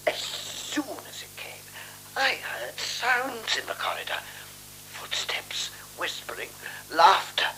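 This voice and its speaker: A male actor in a radio drama playing a beaten, frightened man, telling his tale in a halting, brooding, intense way. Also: on the low side, sharp tone, full character voice, poor audio. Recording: 1970s radio recording with faint hum.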